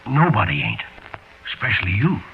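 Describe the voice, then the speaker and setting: Gravelly, sly American fugitive crook. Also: male voice, lowish voice, wildly swooping pitch, normal speed, a little hiss or hum, exaggerated voice. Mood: coaxing menace. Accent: American, urban street slang. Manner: chuckling, slangy, ungrammatical wheedling talk. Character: sly, chuckling fugitive crook, quietly menacing. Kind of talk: 1940s radio acting. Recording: tinny, band-limited 1940s radio recording.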